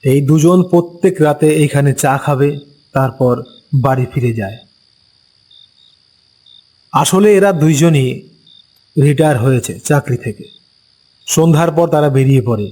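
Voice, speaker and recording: A man narrating an animated ghost story as a storyteller, unhurried and explanatory. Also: fairly high pitch, rough recording.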